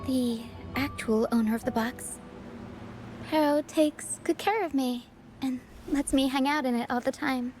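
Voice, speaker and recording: A gentle young girl from a video game, speaks in a high voice over prominent music. She is soft-spoken, earnest and quietly brave, with a playful streak. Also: a little slow. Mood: calm, friendly. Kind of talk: video game cutscene voice acting.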